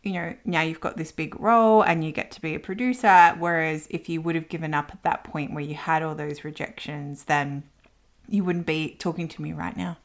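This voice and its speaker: A woman's voice: low voice, steady tone, normal speed. A woman hosting a conversational interview podcast, talking in an affirming way. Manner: affirming, reflects back what the guest said. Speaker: podcast host.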